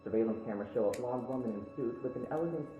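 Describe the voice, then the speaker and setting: Man acting a brisk, formal TV news anchor. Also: medium pitch, narrow, tinny audio, close to an everyday voice. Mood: formal, serious. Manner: formal, measured newsreader delivery. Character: male television news anchor reading a crime report. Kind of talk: scripted TV news bulletin. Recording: roomy echo with music behind.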